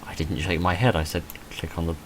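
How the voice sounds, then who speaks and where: A man's voice: sits low, little pitch movement, a little fast, a little hiss or hum. A man reading a visual novel game aloud as he plays, with dry, self-deprecating asides. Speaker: video creator playing and reading a visual novel. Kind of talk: let's play, reading game text aloud with off-script asides.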